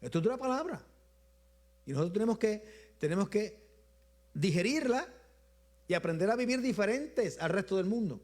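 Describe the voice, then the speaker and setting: High-voiced Puerto Rican preacher. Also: male voice, lively intonation, darkish tone. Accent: Puerto Rican Spanish. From Puerto Rico. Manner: rhythmic repetition, drops low at phrase ends, slows for emphasis. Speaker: bishop preaching. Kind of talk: Sunday sermon.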